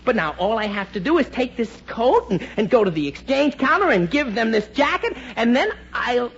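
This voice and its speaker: A man's voice: extremely high pitch, sing-song, normal speed, a bit bright, slightly rough, exaggerated voice. A man playing a hapless, naive teenage boy in a 1940s radio comedy, stammering, pleading and exclaiming. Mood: relieved, pleased. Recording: muffled, noisy 1940s radio recording.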